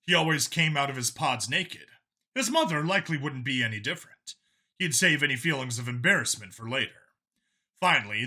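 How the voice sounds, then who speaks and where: A man narrating a dramatic science fiction story from a script, expressive and theatrical. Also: medium pitch. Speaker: audiobook narrator.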